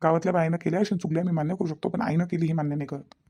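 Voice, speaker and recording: Woman acting a husky, fast-talking, defensive young husband. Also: deep voice, fairly even intonation, dark tone. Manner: firm, repeats denials. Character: mother-defending young husband, insistent and disbelieving. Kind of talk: Marathi cartoon family comedy-drama acting.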